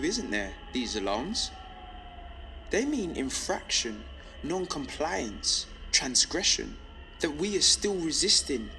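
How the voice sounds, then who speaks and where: An actor playing a defiant, lonely young male rebel on pirate radio in an audio drama, speaking intensely and deliberately in short rhythmic phrases. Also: high-pitched, pushed and bright, heavily acted. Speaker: underground radio broadcaster. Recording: reverberant space with background noise.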